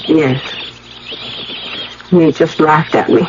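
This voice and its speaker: An actress playing an anxious, pleading, unhappy wife in an old-time radio drama. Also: female voice, low-pitched, steady tone, takes it slowly. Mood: distressed, pleading. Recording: muffled, noisy old radio broadcast.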